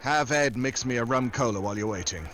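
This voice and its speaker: An actor playing a glib, flirtatious American secret agent in a 1940s-style radio drama, smooth and wisecracking. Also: male voice, mid-range voice, brisk, background noise, close to an everyday voice. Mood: flirty, evasive.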